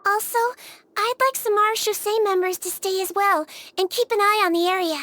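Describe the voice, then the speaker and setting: Tiny, high-pitched gentle nurse creature. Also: female voice, a little fast. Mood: earnest. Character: small gentle Melusine head nurse, female, childlike, earnest. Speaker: nurse and doctor character. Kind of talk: video game voice acting.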